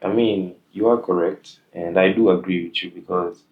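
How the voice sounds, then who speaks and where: A man's voice: deep voice, steady tone, normal speed, phone-quality sound. A young man hosting a casual interview, talking in a hesitant way with many fillers. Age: young adult. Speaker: host.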